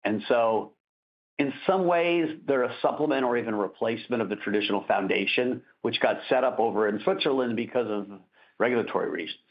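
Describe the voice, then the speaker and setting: A man's voice: medium pitch, fairly quick. A man speaking as a company executive, answering earnings-call questions in a conversational, informal way. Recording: dull conference-call audio.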